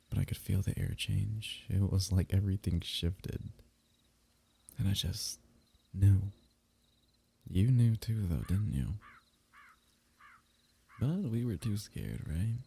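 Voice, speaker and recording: Young man, ASMR roleplay, soft and teasing, dark mellow tone, husky edge. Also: deep voice. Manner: soft, intimate, teasing, drifting into whispers.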